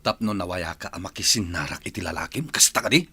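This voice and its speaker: A man's voice: low-pitched, lively intonation, fast talker, vocal fry, sharp tone, husky, no echo, full character voice. A man playing a jealous, controlling husband in a radio drama, scornful and accusing, with an Ilocano accent.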